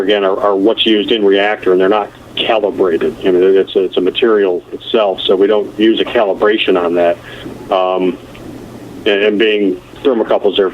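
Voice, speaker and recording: A man giving a halting technical explanation as an engineer presenting on a telephone conference call. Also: sits low. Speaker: nuclear plant engineer presenting. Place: conference call over the phone. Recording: muffled, noisy telephone conference line.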